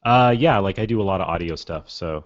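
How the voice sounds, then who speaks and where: A hoarse man chatting casually on a studio microphone, easygoing and quick to laugh. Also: low voice, lively intonation, dull audio, crowd noise. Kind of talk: casual group chat.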